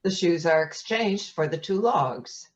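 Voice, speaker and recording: Low-voiced woman narrator, lively intonation. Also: unhurried. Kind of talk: scripted narration, reading stage directions. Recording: dull lo-fi audio.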